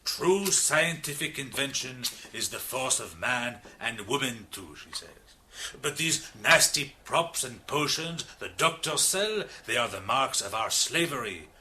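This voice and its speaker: An actor playing a big, expansive, reformed French criminal in a radio drama, with a French accent and an effusive, theatrical manner. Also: male voice, mid-range voice, big pitch swings, measured pace, bright, forceful tone, slight background noise, full character voice. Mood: chatty, animated. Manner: effusive, theatrical, with hesitant asides.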